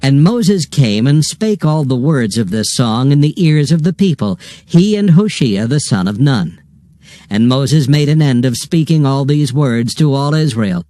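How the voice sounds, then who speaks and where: A man's voice: mid-range voice, lively intonation, a little slow, warm tone, no room sound. A man narrating a dramatized scripture reading from a script.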